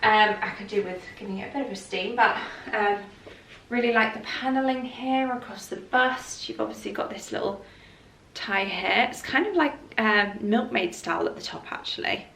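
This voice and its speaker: A woman's voice: mid-range voice, normal speed, creaky, a bit bright. A woman vlogging a casual, enthusiastic try-on chat with fillers, heard from a distance. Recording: echoey room from a distance.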